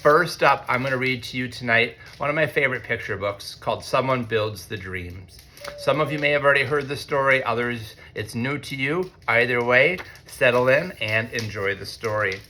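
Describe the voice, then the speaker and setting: An American man, a teacher, reads a picture book aloud to children in an expressive way, with conversational asides. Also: medium pitch, slight background noise. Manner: expressive, deliberate when reading, conversational in asides. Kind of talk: read-aloud storytelling with chatty asides to children.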